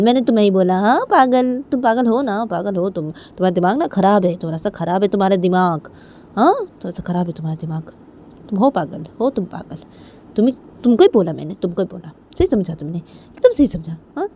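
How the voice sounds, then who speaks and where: A woman's voice: mid-range voice, expressive, fairly quick, warm tone, a little hiss or hum, natural voice. Woman acting exasperated, repetitive dinosaur father. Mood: irritated, taunting. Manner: blustering, repeats himself insistently.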